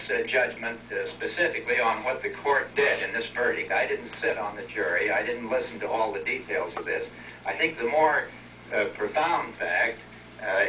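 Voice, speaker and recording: A man with an American accent giving a considered opinion in a deliberate, measured way. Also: mid-range voice, distant mic, a little hiss or hum. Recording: tinny, echoey old off-air tape recording.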